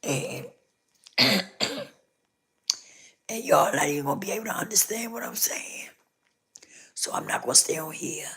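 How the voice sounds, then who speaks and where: A low-pitched woman whose voice has gone hoarse and rough, half whispering with a gravelly fry through much of it. She hosts a casual live stream, circling back over the same points as she speaks directly to her viewers. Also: expressive, a little slow, pauses often, fairly bright tone. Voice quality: rough, scratchy voice. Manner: repetitive, talks straight to viewers.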